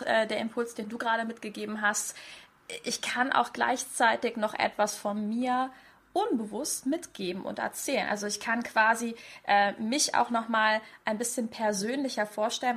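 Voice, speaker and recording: A woman's voice: a little high, a bit bright. A woman host and coach in conversation, affirming her guest's points and building on them. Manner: affirming, picks up and builds on ideas. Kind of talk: conversational interview.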